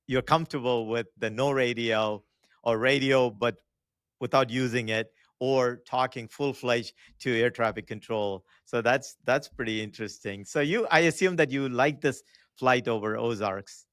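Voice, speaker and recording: A man with a mid-pitched voice hosts a conversational interview. He asks questions and adds his own experience, recorded dry with no room sound. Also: fairly bright tone, clear, resonant tone, no room sound. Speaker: host and pilot.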